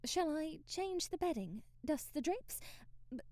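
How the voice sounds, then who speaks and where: High, bright, flustered young maid, acted by a woman. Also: sing-song, a little slow, dry recording. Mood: nervous, eager. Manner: babbling, hesitant, self-deprecating, formal old-fashioned wording. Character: timid, clumsy, devoted young maid, flustered and apologetic. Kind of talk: ASMR roleplay acting.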